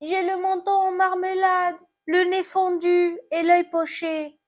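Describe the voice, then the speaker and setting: A woman voicing a battered apple from a children's poem, plaintive yet gently generous. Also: very high voice, completely flat delivery, takes it slowly, voice pushed up. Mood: plaintive, hurt.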